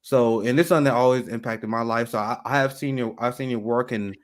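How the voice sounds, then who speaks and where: A man hosting a live talk show, speaking with many restarts and pauses. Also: medium pitch. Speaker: host.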